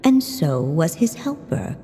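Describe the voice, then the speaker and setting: Slow, mellow storybook narrator. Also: female voice, low voice, sing-song, breathy edge, faint music behind, exaggerated voice. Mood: gentle, solemn. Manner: measured, lyrical. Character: gentle, solemn female storybook narrator. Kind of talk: scripted fairy-tale narration.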